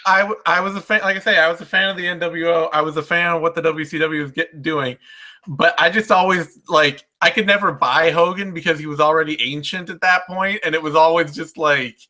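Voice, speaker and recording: A man's voice: fairly high pitch, steady tone, fairly quick, bright, forceful tone. A man hosting a casual sports chat in a rambling, self-deprecating way. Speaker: host.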